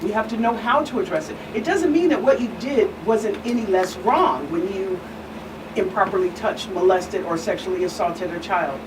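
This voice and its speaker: A woman guest expert explains earnestly in a panel discussion, with a mid-range voice. She is deliberate and emphatic, repeating phrases for weight. She sounds distant from the microphone, with clear background noise. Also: medium pitch. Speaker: guest expert, attorney and pastor. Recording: distant mic, clearly noisy room hum.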